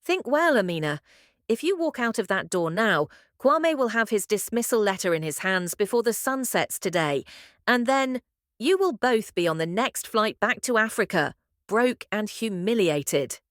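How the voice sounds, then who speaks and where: A man's voice: high-pitched, expressive, moderate pace, fairly bright tone, dry recording. Storybook villain boss, male, older, coldly manipulative and commanding, acted by a woman; close, dry sound. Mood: cold threat. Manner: patronising, commanding.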